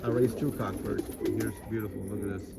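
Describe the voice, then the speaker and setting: A man's voice: fairly low pitch, fairly even intonation, a little fast, vocal fry. A man from India giving a casual, rambling tour of his backyard pigeon loft, full of fillers and restarts. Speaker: pigeon keeper showing his birds. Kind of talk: casual vlog walkthrough. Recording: loud bird noise outdoors.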